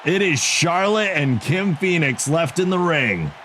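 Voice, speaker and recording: A man giving excitable wrestling play-by-play commentary for a video game league, joking about himself between calls. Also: fairly high pitch, measured pace. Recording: game crowd and noise behind the voice. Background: video game arena crowd.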